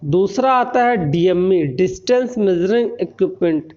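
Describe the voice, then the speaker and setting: An Indian man giving an explanatory technical lecture, often pausing to check that listeners follow. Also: high voice, a little mellow. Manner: frequent check-in tags while explaining. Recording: dull lo-fi recording.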